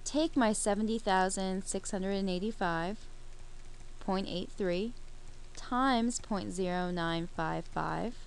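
A woman's voice: mid-range voice, slow, gravelly, a little hiss or hum, poor audio. A woman teaching a math lesson over a screen recording, explaining each step while she works the problem.

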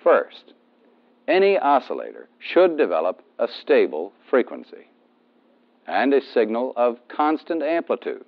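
American man narrating, lively pitch, vintage soundtrack. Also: a little slow, vocal fry. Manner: methodical, explanatory, emphatic on key terms. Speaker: instructor narrating a military training film. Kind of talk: instructional scripted narration. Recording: dull, band-limited 1970s film soundtrack.

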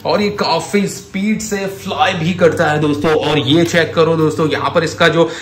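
A man's voice: on the high side, fairly even intonation, moderate pace. A man enthusiastically showcasing products to viewers, gushing and addressing them as friends. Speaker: host of a toy showcase channel. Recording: roomy echo, audible background noise.

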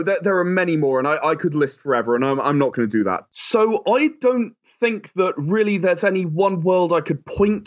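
Higher-pitched man explaining in an interview. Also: highs cut off. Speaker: game creator and producer being interviewed.

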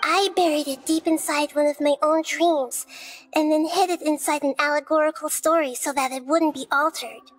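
A woman playing a wise, gentle, childlike goddess in a video game, speaking in a measured, solemn storytelling manner. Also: high-pitched, fairly even intonation, faint music behind, close to an everyday voice. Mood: grave explaining. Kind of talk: scripted video game dialogue.

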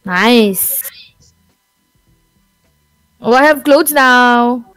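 A woman chatting casually and excitably while playing a mobile shooter. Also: fairly high pitch, drawn-out words, clear, resonant tone, no room sound. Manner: excitable, laughing. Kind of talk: casual gameplay chat. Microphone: phone mic. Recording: dry close sound with game music behind.

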